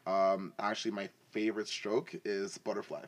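A man's voice: lowish voice, flat delivery, moderate pace. A man in his twenties from Africa and Canada, telling his story in casual, bantering, slangy conversation. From West and East Africa, then Canada. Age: 20s. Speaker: football player telling their story as a podcast guest. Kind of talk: casual chat, personal storytelling.

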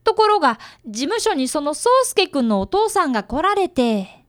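Woman as a schoolboy apprentice detective, very high voice, earnest, polite, lively intonation, radio drama. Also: normal speed. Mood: earnest, downcast. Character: earnest, polite fifth-grade boy, apprentice detective and narrator. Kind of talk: radio drama acting and narration.

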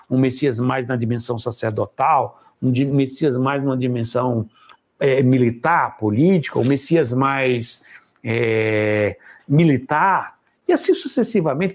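A man's voice: mid-range voice, darkish tone, breathy edge. A man from São teaching a Bible study lecture, explaining in a conversational way with frequent tag questions and restarts.

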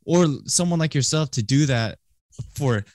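A man hosting a podcast interview, asking questions in a relaxed, conversational way. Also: fairly high pitch, normal speed, no echo, clean studio sound. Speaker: podcast host.

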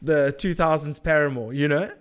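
A man's voice: fairly high pitch, fairly even intonation, normal speed, clean tone. A man hosting a casual interview, joking and rambling in an informal way. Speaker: podcast host.

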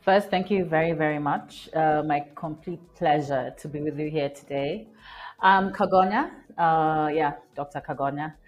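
A woman from Kenya, a scientist guest on an interview podcast, answering in a warm, rambling way with fillers and soft laughs. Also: low voice, normal speed, muffled recording.

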